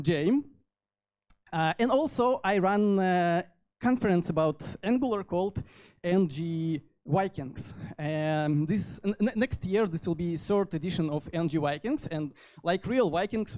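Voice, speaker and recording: A man in his thirties with a Russian accent giving an informal technical talk into a handheld microphone, halting often as he goes. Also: sits high, a little mellow. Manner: halting delivery with frequent hesitations. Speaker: conference speaker, software developer. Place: indoor meetup venue.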